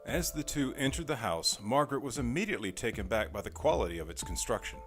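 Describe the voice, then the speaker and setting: A man's voice: medium pitch, animated, quick pace, a bit bright. A man narrating a scripted audio drama as storyteller. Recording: music behind the voice.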